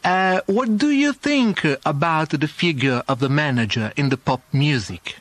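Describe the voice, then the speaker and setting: An Italian man with an Italian accent hosting a live radio interview in a halting, polite way. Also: fairly high pitch, expressive, fairly bright tone, dry recording. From Italy. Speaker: radio host. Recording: orchestral music under radio talk. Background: orchestral music.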